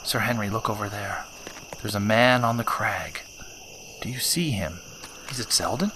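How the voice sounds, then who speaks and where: A man playing a polite, anxious gentleman doctor in an audio drama, speaking hesitantly. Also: on the low side, lively intonation, normal speed, background noise, close to an everyday voice. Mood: alert, excited.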